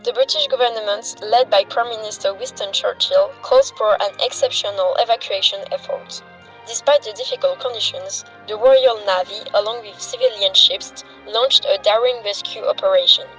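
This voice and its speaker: Woman, newsreel narrator, scripted historical delivery, medium pitch, music behind. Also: normal speed, fairly bright tone, low-quality recording. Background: background music.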